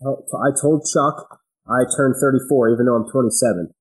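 Medium-pitched man, emphatic casual podcast guest. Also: normal speed, low-quality recording. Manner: emphatic, slangy, asks rhetorical questions. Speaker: podcast guest, business owner. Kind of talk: casual chat, telling anecdotes.

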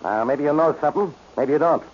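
An actor playing a gruff, irritable police inspector, a man who barks blunt, exasperated orders in 1940s radio drama style. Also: fairly high pitch, quick pace. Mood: stern. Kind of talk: 1940s radio detective acting. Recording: muffled old radio recording.

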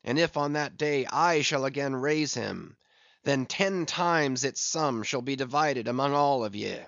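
A man's voice: medium pitch, unhurried, gravelly, fairly bright tone, no room sound. A man voicing a grim, obsessed older sea captain who barks stern orders, on a dull lo-fi audiobook recording. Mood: commanding. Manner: stern, commanding, brooding.

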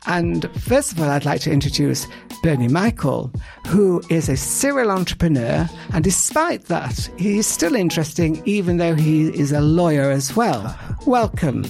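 A man's voice: on the high side, sing-song, moderate pace, gravelly, darkish tone, faint music behind. A man hosting a business podcast, asking rambling, joking questions of his guests. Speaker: podcast host. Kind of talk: interview hosting.

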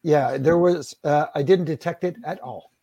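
Man, gravelly voice, husky, halting casual chat, dry sound. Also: on the high side, fairly even intonation, warm tone, no room sound, lo-fi sound. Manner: halting, many fillers. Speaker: podcast co-host.

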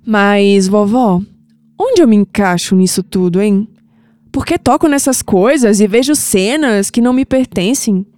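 Troubled young Brazilian woman acted by a woman, pensive and mellow, slow delivery, audio drama with music. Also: mid-range voice, in a large hall, lightly acted. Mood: confused. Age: young adult. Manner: pensive, sighing. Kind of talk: scripted audio drama acting.

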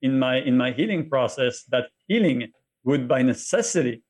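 A middle-aged man with a French accent, a podcast guest telling his own story in a halting way that often repeats words. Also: mid-range voice, expressive, darkish tone, breathy edge. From France. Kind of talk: interview conversation.

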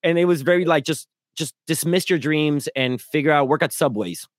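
A man's voice: on the high side, moderate pace, no room sound, clean studio sound. A man hosting a podcast in casual conversation, joking and laughing often. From grew up in New York City. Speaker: podcast host.

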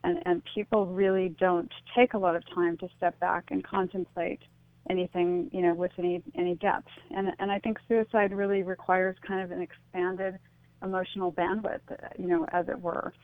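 A woman's voice: fairly low pitch, little pitch movement, moderate pace. A woman giving reflective, tentative interview answers as a guest, explaining things through anecdotes and analogies. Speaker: interview guest, author and speaker on suicide loss. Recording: tinny phone line, echoey.

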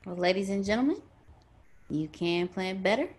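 A woman's voice: mid-range voice, lively intonation, normal speed, a little hiss or hum. A woman hosting an online book discussion and closing the session in a friendly way. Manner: friendly, wraps up the meeting. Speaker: group host. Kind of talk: casual chat.

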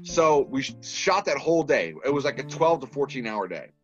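A man's voice: medium pitch, moderate pace, muffled recording, soft background music. A man from Massachusetts with a Boston accent, a podcast guest telling stories and acting out the dialogue. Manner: animated storyteller who acts out quoted dialogue. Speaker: guest, filmmaker. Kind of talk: casual podcast storytelling.

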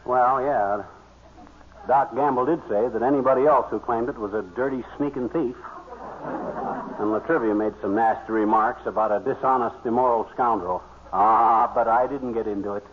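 An American actor playing a blustery, bragging amateur hunter and husband in folksy, slangy 1940s radio comedy. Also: male voice, medium pitch, normal speed, lightly acted. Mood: self-justifying. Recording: tinny vintage radio recording, faint noise.

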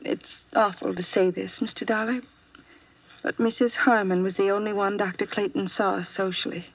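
An actress playing a weary, guarded doctor's employee in a 1950s radio drama, speaking hesitantly with an American accent. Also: female voice, medium pitch, steady tone, moderate pace, natural voice. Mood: reluctant. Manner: hesitant, evasive, sighing. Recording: dull, dry 1950s radio recording.

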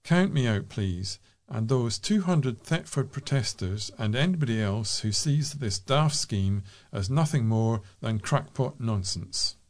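A man's voice: medium pitch, expressive, measured pace, few pauses, darkish tone. Man reading letters aloud expressively. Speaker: volunteer reader for a local talking newspaper.

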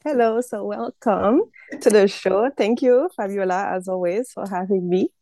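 A woman, a guest herbalist introducing herself in an interview with steady, earnest explanations. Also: mid-range voice, normal speed, no echo. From Haiti.

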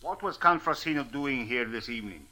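Stern probing police captain, very bright forceful voice, formal diction, vintage radio drama. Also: male voice, medium pitch, lively intonation, fairly quick. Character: stern, skeptical Cairo police captain interrogating suspects. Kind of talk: 1940s radio drama acting.

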